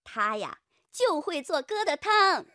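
An actress playing a hospitable, teasing steelworker's wife in a Chinese radio drama. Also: female voice, extremely high pitch, big pitch swings, slow, bright, forceful tone, no echo, studio quality, heavily acted. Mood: welcoming, teasing.

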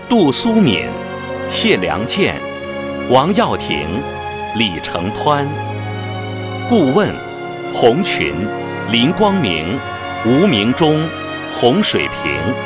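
Slow, highly melodic male radio announcer. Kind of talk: formal scripted credits reading. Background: background music.

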